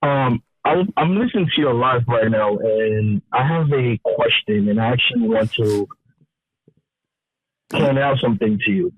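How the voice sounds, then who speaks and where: Hesitant young man on a phone line. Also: medium pitch. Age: 20s. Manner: hesitant, halting start. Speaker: caller. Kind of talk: call-in question. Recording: tinny phone line, dry.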